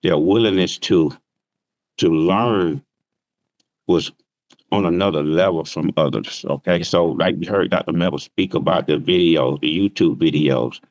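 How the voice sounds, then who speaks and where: Very deep-voiced man on a call. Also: normal speed, darkish tone, no echo, highs cut off. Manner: plainspoken, explains with everyday examples. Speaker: trading instructor. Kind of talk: casual interview answers. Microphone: remote call.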